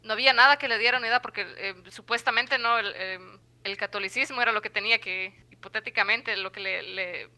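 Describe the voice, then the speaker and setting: A woman's voice: fairly high pitch, fairly quick, bright, forceful tone, poor audio. A woman in her twenties from Guatemala, a podcast guest who talks hesitantly, with many fillers and restarts. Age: 20s. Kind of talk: conversational interview.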